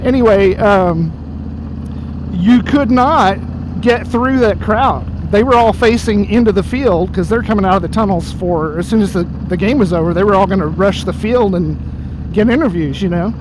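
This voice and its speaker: A man telling an embarrassing story in a casual, rambling way while riding, his voice going hoarse. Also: high-pitched, moderate pace. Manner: rambling, self-deprecating, easily startled by dogs. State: losing his voice. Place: outdoors on a motorcycle on the road. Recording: road and engine noise.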